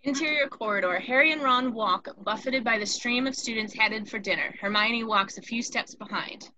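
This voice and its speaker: A woman reads out the scene directions of a script. Her voice is crisp-edged and on the high side, recorded with slight noise in the background. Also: normal speed, bright, forceful tone, muffled recording. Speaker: narrator reading stage directions. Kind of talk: scripted narration in a table read.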